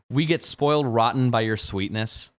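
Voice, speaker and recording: A man in his twenties, a game streamer, chatting jokily on a late-night livestream and jumping from low mumbles to sudden shouts. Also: unhurried. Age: 20s. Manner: playful, jokey, swings from mumbling to sudden shouts.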